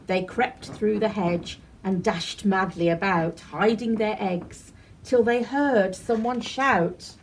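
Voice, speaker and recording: A woman reading a rhyming children's picture book aloud as a storyteller, in an animated, deliberate way. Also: medium pitch, a little hiss or hum, low-quality recording. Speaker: library storyteller.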